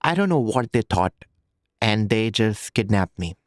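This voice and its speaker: A man's voice: fairly low pitch, big pitch swings, unhurried, no echo, clean studio sound. A man in his twenties from Pakistan, with a Pakistani accent, telling his own story as a podcast guest and repeating phrases for emphasis. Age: 20s. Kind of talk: recounting personal story in an interview.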